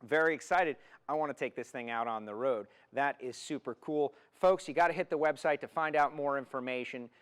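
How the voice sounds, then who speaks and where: A man's voice: mid-range voice, fairly quick, hardly any pauses, clear, resonant tone. A man hosting an automotive TV show, presenting and interviewing in an upbeat, enthusiastic way. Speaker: TV show host.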